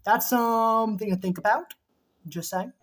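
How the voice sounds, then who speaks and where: A woman's voice: on the high side, unhurried. A woman giving casual reaction commentary, chatty and rambling, who keeps saying just saying, with a sore throat. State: sore throat, losing her voice. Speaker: video reactor.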